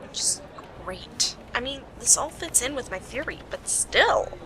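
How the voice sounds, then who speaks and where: A woman playing a sarcastic, bossy detective in an amateur radio play, with a wry, brisk delivery. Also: on the high side, expressive. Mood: exasperated, probing. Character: sharp, sarcastic female detective leading a murder case. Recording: noisy amateur home recording.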